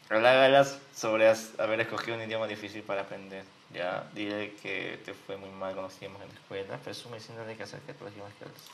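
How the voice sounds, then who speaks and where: A man's voice: fairly low pitch, fast, gravelly, a bit bright, slight background noise. A man with a Peruvian Spanish accent commenting on a game in a mocking, joking way. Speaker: gaming host and dating instructor. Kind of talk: let's play commentary.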